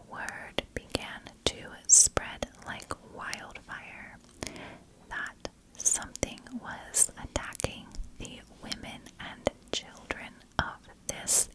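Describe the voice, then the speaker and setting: A woman hosting a storytelling channel in a soft ASMR whisper, with gentle, deliberate phrasing. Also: rough recording. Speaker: host of a spooky storytelling channel.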